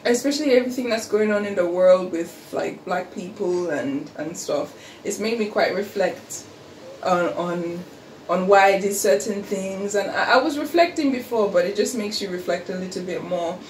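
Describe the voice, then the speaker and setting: A woman's voice: medium pitch, normal speed, recorded from a distance. A young woman from Australia with an Australian accent, talking casually and animatedly as co-host, with lots of fillers. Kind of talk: casual conversation. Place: room in England. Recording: echoey room, voice off-mic, faint background hiss.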